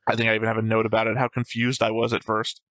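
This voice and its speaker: A man with a bright, sharp voice talks casually as co-host of a discussion show. He is short on sleep, argumentative and often restates his own points. The sound is dry, with little room in it. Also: on the low side, completely flat delivery, racing, no room sound. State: sleep-deprived. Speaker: co-host of an anime reaction show. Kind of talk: casual discussion of episode notes.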